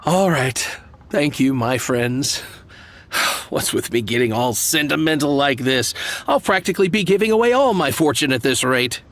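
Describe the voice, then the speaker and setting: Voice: medium pitch, animated, moderate pace, fairly bright tone. An actor playing a polite, sentimental jade shop proprietor in a video game, courteous and theatrical in delivery.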